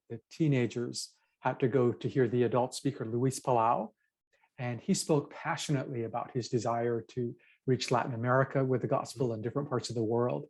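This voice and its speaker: A middle-aged man from Japan, a pastor, telling his life story in a measured, reflective way in an interview. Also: medium pitch, a little mellow. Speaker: pastor and author.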